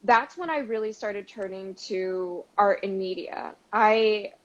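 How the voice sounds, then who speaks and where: A woman's voice: medium pitch, slow pace, lo-fi sound. A woman from Arizona whose first language is Mandarin, talking as a guest in a casual group chat in a reflective, hesitant way with many fillers. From Arizona, USA.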